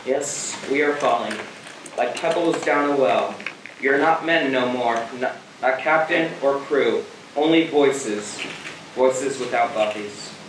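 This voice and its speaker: A man's voice: medium pitch, slow pace, noisy background, close to an everyday voice. A man playing a wry, shaken spaceship captain in an amateur stage play, recorded from far off in an echoey theater. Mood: stunned, mournful. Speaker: actor in a school theater production. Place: theater stage, picked up from a distance.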